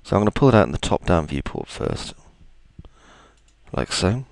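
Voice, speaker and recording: A man with a gravelly, deep voice, chatting his way through a software tutorial with plenty of ums and asides. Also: big pitch swings, normal speed, no echo. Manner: chatty, self-deprecating, thinks aloud with ums. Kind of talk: screen-recorded software tutorial.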